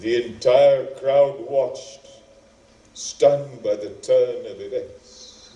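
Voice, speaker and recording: A man narrating an epic as a stage actor, dramatic and deliberate, with weighty pauses. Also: mid-range voice, mellow, muffled recording. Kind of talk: theatrical epic storytelling. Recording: roomy, echoing, distant microphone.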